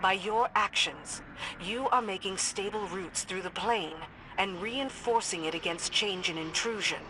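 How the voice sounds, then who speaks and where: A woman's voice: mid-range voice, a little slow, background noise, lightly acted. A woman playing a stern warrior lieutenant of a queen in a video game, speaking firmly and with clipped authority. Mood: grave, instructive. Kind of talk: dramatic video game dialogue. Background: music.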